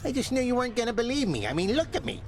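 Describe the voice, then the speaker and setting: High-voiced wisecracking skeleton. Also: male voice, big pitch swings, very fast, soft background music. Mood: wisecracking, defensive. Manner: streetwise, slangy, sarcastic. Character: wisecracking undead skeleton sparring partner, brash and cheeky. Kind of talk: video game character dialogue.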